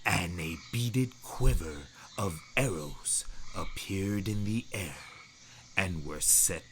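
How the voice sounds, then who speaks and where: A storyteller reading a children's folktale aloud in a theatrical, deliberate manner with dramatic pauses. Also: deep voice, a bit bright, slight background noise. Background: music behind the voice.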